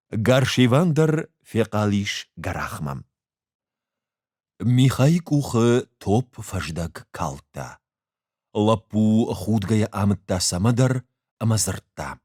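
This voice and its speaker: A man with an Ossetian accent reads a story as an audiobook, measured and expressive in his delivery. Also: fairly low pitch, no room sound, clean studio sound. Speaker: actor reading a short story. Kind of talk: audiobook narration with acted dialogue.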